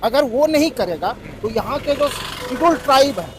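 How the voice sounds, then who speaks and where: A man answers a street interviewer. His voice is notably high for a man. There is faint urban noise behind him. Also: lively intonation, normal speed. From Jharkhand, India. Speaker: member of the public being interviewed. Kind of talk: spontaneous opinion in a street interview. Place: outdoors in an urban area.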